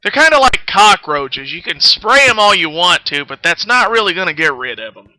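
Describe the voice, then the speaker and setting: A man's voice: high-pitched, very animated, moderate pace, sharp tone, poor audio. A man chatting casually as he hosts a game livestream, rambling along in a self-deprecating, sweary way. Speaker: game streamer, host. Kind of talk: casual livestream chat while playing a game.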